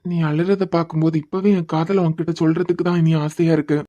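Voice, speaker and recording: A man playing a young man secretly in love, speaking softly and poetically. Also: on the high side, level tone, fast talker, highs cut off, natural voice. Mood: soothing. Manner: soft, tender and poetic. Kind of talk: romantic audio drama acting.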